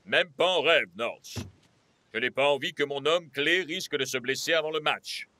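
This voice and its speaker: A man's voice: a little high, normal speed, sharp tone. A man playing an earnest, protective football coach in a French cartoon dub, speaking in a serious, instructive way. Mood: firm.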